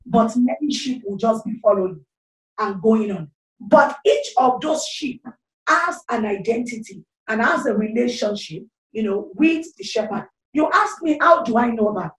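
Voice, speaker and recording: A woman's voice: on the high side, echoey room, far from the mic, poor audio. A middle-aged Nigerian woman evangelist preaching into a handheld mic, fervent and repetitive, with frequent exclamations. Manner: gestures freely while speaking into a handheld mic. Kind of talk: online sermon. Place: small indoor room, seated. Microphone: handheld corded microphone.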